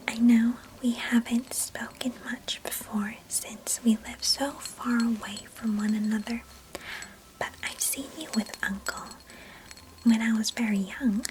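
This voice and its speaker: A woman voicing a chatty, mischievous and affectionate young girl cousin in an ASMR roleplay, in a breathy, intimate whisper close to the mic. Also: a little high, lightly acted, rough recording. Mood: tender. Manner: gentle, chatty, storybook-like old-fashioned phrasing. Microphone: close microphone.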